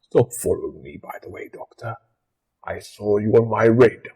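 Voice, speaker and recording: A man playing a proud, vengeful alien warrior commander in an audio drama, with measured, threatening delivery. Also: fairly low pitch, vocal fry, dark tone, breathy edge. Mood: grudging, threatening. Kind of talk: fan audio drama acting.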